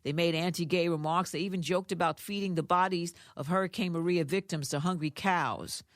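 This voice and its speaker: A woman's voice: on the low side, steady tone, fairly quick, dry recording. A woman reading radio news in a conversational way, adding informal asides. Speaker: radio newsreader. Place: radio studio.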